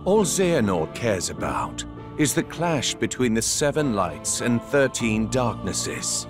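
An actor playing a calm, calculating middle-aged schemer in a video game, speaking in a measured, probing way. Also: male voice, mid-range voice, over music. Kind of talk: cinematic video game voice acting.

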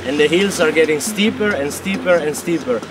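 Expedition crew guy, higher male voice, frank storytelling, distant mic, music behind. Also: a little fast. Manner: frank, storytelling, lightly broken English. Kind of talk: documentary narration and field talk.

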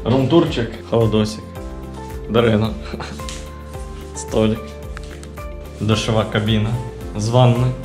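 A man's voice: lowish voice, little pitch movement, a little slow, pauses often. A man narrating his own travel vlog in a casual voice-over, with wry, joking asides. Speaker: travel vlogger. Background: music.

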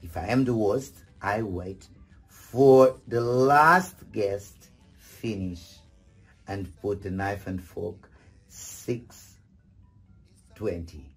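A middle-aged man giving etiquette advice in a husky voice, theatrical and emphatic, often repeating phrases. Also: on the low side, drawn-out words, soft background music. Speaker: etiquette host. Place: furnished sitting room indoors.

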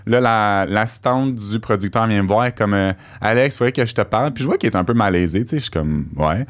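A young man with a Québécois accent speaks low and quick, telling a story on a podcast. He jumps into the lines of the people in it as he goes, close on a studio microphone. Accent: Québécois French. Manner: animated storyteller, acts out quoted dialogue. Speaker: podcast guest. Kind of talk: casual storytelling. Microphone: studio mic on boom arm.

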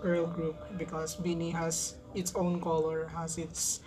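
A young Filipino woman whose first language is Tagalog, speaking careful English with a Filipino accent as she reacts excitedly to a music video in a small room. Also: sits low, measured pace, background noise. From Philippines. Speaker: YouTube reactor. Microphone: headset, facing camera.

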